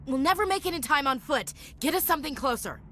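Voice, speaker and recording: A worried space officer in a game, bright forceful voice, swings up and down a lot. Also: female voice, high-pitched, animated, fast. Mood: alarmed. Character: alarmed, forceful female space crew officer. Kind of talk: sci-fi game dialogue.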